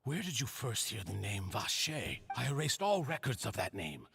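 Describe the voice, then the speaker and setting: An actor playing a desperate, lovelorn older convict in a video game, stammering and pleading with emotion. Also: male voice, mid-range voice, sing-song, normal speed, vocal fry, over music. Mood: earnest. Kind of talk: dramatic video game voice acting.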